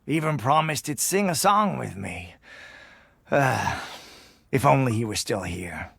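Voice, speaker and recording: A man's voice: mid-range voice, lively intonation, measured pace, gravelly, a bit bright, slightly rough, full character voice. A man playing a wistful soldier in a video game. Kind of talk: scripted video game voice acting.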